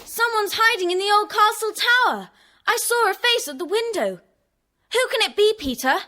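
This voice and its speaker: A woman playing an excitable, earnest young schoolgirl in a children's audio drama. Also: very high voice, moderate pace, exaggerated voice. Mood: excited.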